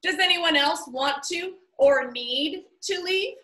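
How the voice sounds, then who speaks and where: Brief: a playful, high-pitched eccentric game host, voiced by a woman reader, roomy sound. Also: slow pace, sharp tone, exaggerated voice. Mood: showy. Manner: theatrical, playful announcing. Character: eccentric cheerful male game-maker, showy. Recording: roomy, somewhat distant mic.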